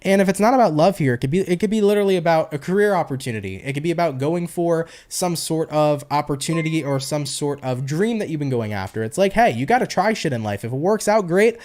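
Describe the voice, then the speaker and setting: A man's voice: a little high, expressive, fast, few pauses, darkish tone. A man from New York with a New York accent, giving a casual tarot reading in a chatty, slangy way and swearing casually. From New York, USA. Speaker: tarot reader, online host.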